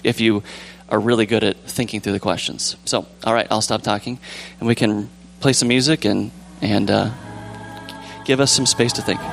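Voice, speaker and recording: A young man with a calm, relaxed voice leading a casual church discussion, rambling gently with fillers and restarts. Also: mid-range voice. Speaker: church group leader. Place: church sanctuary with pews.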